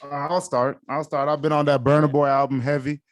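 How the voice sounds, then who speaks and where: A man's voice: a little high, little pitch movement, fairly quick, no echo. A young man whose first language is Yoruba, co-hosting a podcast in casual, earnest chat and often leaning on "like". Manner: earnest, leans on "like" as a filler. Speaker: podcast co-host.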